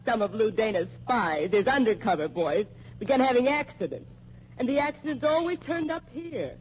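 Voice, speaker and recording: An actress playing a defiant but frightened young employee, slangy and stammering under pressure, in an old-time radio crime drama. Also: female voice, on the high side, expressive, normal speed, lightly acted. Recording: muffled, hissy old radio recording.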